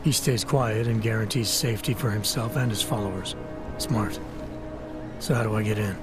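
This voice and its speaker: Skeptical special agent, man acting dry and terse, lowish voice, video game scene over music. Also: normal speed, close to an everyday voice. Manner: laconic, dry, questioning.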